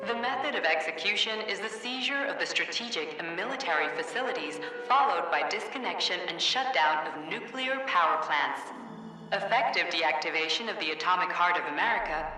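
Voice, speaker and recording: A formal female announcer in a video game, reciting an official directive in a measured way. Her voice sits fairly low and sounds far off in a big reverberant hall, with clear music underneath. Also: sharp tone. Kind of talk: formal scripted narration. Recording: echoey distant hall with music.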